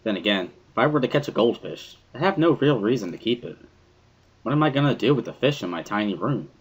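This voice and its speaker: A man's voice: mid-range voice, animated, fast talker, breathy edge. A man reading first-person visual novel narration in an introspective storytelling manner. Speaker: dramatic reader of a visual novel.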